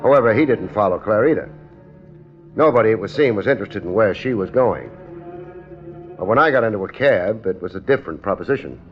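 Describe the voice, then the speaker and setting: An actor playing a cool, wry private investigator who leads and narrates a 1950s radio detective drama in a laconic, casual way. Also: male voice, fairly low pitch, animated, brisk, natural voice. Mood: dry narration. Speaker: lead and narrator. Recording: muffled 1950s radio recording, music.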